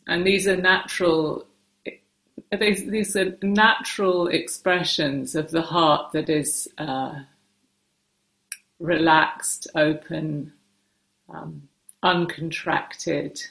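A woman meditation teacher giving gentle guided instruction in short, unhurried phrases with hesitant pauses, recorded in a roomy, echoing space. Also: low voice, steady tone, vocal fry.

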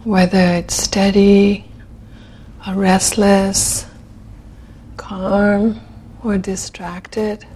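A woman leading a guided meditation in a gentle, unhurried manner, leaving long pauses between phrases. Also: medium pitch, little pitch movement, slight background noise. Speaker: meditation teacher.

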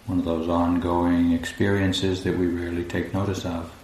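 Male meditation teacher: very flat, low delivery, slow and calm with long gaps, recorded in a reverberant room. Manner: calm, unhurried, long pauses between phrases. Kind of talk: guided meditation. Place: indoor room at a group retreat. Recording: roomy echo, faint low rumbling noise.

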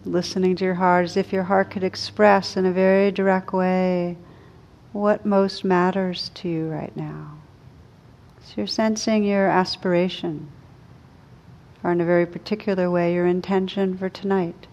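A woman meditation teacher leading a guided meditation in a gentle, unhurried, soothing way with long pauses. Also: on the low side, little pitch movement, muffled recording.

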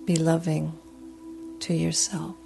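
Lady leading a guided meditation, really slow n gentle, lowish voice, lots of pauses. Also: flat delivery, creaky, faint music behind. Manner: soothing, gentle, unhurried with long pauses.

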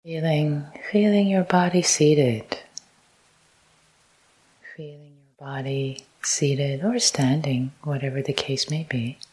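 Slow, low-voiced woman guiding meditation. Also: low-quality recording. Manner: soft, breathy, often near-whispered delivery; calm, unhurried, with short repeated phrases. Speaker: meditation teacher. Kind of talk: guided meditation. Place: meditation retreat talk.

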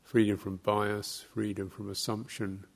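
A man giving guided meditation instruction as a teacher, speaking in short, measured phrases with long, halting pauses. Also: lowish voice, level tone, vocal fry.

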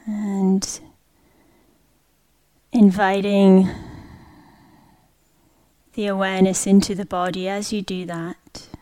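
A woman leading a guided meditation, speaking gently in short, unhurried phrases with long pauses. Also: mid-range voice, flat delivery. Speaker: meditation teacher.

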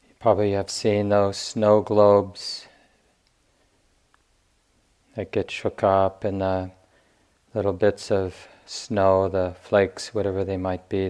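Slow-spoken man guiding meditation, even tone. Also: low voice, smooth. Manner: unhurried, gentle, with long pauses between phrases. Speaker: meditation teacher. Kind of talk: guided meditation instruction.

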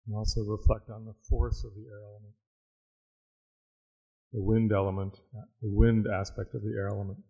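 A man teaching a guided meditation, speaking in a measured, reflective way with long pauses. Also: on the low side, level tone, creaky, mellow, slightly rough, dull audio.

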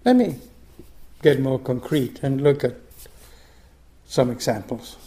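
Older male meditation teacher, unhurried and thoughtful, mellow tone. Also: medium pitch, expressive. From Argentina. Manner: slow, reflective, frequent restarts. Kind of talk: dharma talk.

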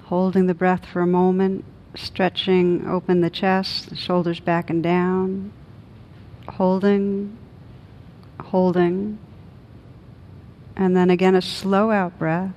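A woman meditation teacher leading a guided meditation in a gentle, soothing manner with long pauses. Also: lowish voice, level tone, highs cut off.

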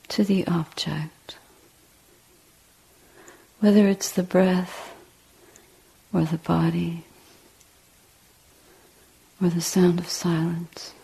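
A woman meditation teacher leading a guided meditation in a breathy, hushed voice, with deliberate short phrases and long pauses. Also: fairly low pitch, rough recording. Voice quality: husky, breathy, often near whisper.

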